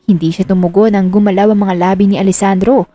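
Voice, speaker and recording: A woman's voice: medium pitch, fairly even intonation, fast, warm tone, no echo. A woman reading scripted narration of a romantic drama as the storyteller. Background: music.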